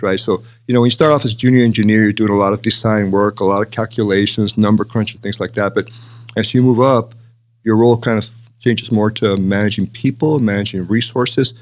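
An older man from Texas answering interview questions in a conversational, explanatory way, often checking in with "right?" and "you know". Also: on the low side. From El Paso, Texas, United States. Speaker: interview guest, city manager.

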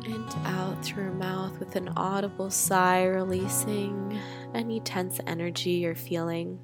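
A woman leading a guided meditation in a soothing, gentle way, with drawn-out phrases and pauses. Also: medium pitch, fairly even intonation. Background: guitar music.

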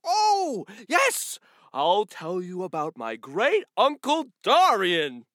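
An actor playing a small, clumsy, boastful young donkey who tells stories excitedly in a children's audio drama. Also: mid-range voice, slow, no room sound, clean studio sound, exaggerated voice. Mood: eager storytelling. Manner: exuberant, self-assured, chatty.

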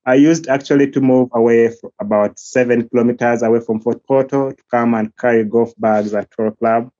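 A young man with a Ugandan accent, speaking earnestly and slowly as an interview guest, often pausing to search for words. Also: medium pitch, dark tone, no echo. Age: young adult. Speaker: guest, golf academy founder. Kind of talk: remote interview. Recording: dull lo-fi line, faint music.

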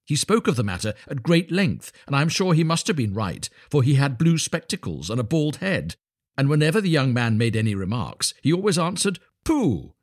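A man's voice: medium pitch, very animated, moderate pace, pitched above the performer's own voice. A man voicing a self-important, opinionated water rat in a storybook, pompous and know-it-all. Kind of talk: storybook animal voice.

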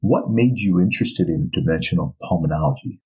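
A man's voice: deep voice, expressive, brisk, dark tone, breathy edge, highs cut off. A man hosting a medical podcast, asking his guest questions in a friendly, encouraging way. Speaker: podcast host, doctor. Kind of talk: interview hosting.

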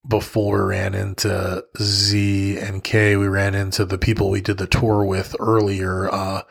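A man's voice: low voice, completely flat delivery, unhurried, gravelly, husky. A young adult man co-hosting a podcast, chatting bluntly, swearing freely and laughing a lot. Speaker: podcast co-host. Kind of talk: casual chat.

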